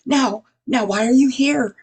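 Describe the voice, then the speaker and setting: A theatrical fairy-tale gatekeeper, played by a woman. The voice is high and slow, officious but helpful, in radio-play style. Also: darkish tone. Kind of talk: amateur radio play acting.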